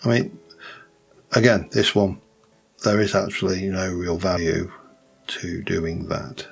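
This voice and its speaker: A man with a light Yorkshire accent, rambling through casual gameplay commentary with many pauses and restarts. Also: low-pitched, level tone, vocal fry, husky, faint music behind. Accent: light Yorkshire English. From Yorkshire, England. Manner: rambling, thinks aloud with many ums and restarts. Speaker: let's play host.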